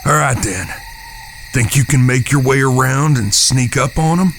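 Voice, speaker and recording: A stern eldest brother from a Western audio drama, played by a man, gravelly and commanding. He speaks plainly. Noticeable outdoor noise with crickets sits behind the voice. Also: medium pitch, normal speed, a bit bright, natural voice. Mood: urgent, concerned. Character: stern, protective eldest brother, Old West gang leader. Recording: noisy track with cricket-filled outdoor ambience.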